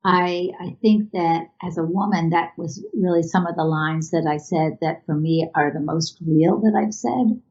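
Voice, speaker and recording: Woman artist interviewed, lowish pitch, thoughtful and halting, muffled lo-fi video-call sound. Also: darkish tone. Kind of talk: reflective interview answers.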